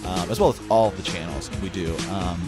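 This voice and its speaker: A man's voice: low-pitched, fairly quick, music in the background. A man hosting a podcast, making a casual announcement in an earnest way and often repeating his phrases. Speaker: podcast host.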